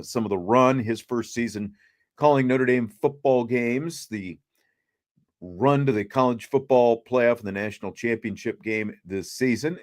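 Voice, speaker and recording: Casual male sports talk show host. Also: medium pitch.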